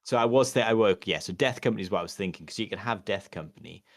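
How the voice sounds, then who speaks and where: A man co-hosting a podcast, chatting casually in a halting, rambling way with many fillers and restarts. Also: fairly low pitch, dry recording, studio quality. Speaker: podcast co-host. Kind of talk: casual chat.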